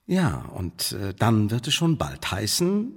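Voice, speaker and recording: A man's voice: lowish voice, huge pitch swings, slow pace, slightly rough, full character voice. An actor playing a stern, cautious British spymaster in a German radio play, speaking formally and measuredly as he warns and briefs. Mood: stern briefing. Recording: reverberant hall-like room.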